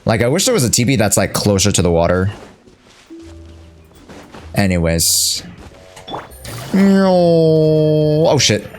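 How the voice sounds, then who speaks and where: Male streamer, animated pitch, casual slangy talk, teasing, faint music behind. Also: slow, long pauses. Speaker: game streamer. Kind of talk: casual livestream chat over gameplay.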